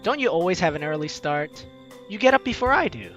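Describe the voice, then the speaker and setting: Male let's player, slightly high and lively, expressive visual novel narration with playful asides, light music behind. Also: fairly quick, lo-fi sound. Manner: expressive, acts out reactions. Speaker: let's play host. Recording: music under the voice. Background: game music.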